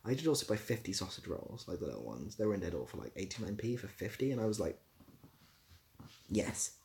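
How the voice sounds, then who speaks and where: A man's voice: lowish voice, sing-song, brisk, a little mellow, a little hiss or hum. A young British man chatting casually on a livestream, rambling a little and dryly playful as he responds to viewers. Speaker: live streamer. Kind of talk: casual livestream chat, answering viewer comments.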